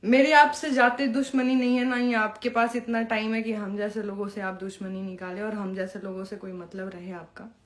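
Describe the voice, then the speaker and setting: A woman's voice: mid-range voice, little pitch movement, moderate pace, few pauses. A young woman in an informal live-stream monologue, confrontational and taunting, mixing in English phrases. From Bihar, India. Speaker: Bhojpuri performer addressing followers on a live stream.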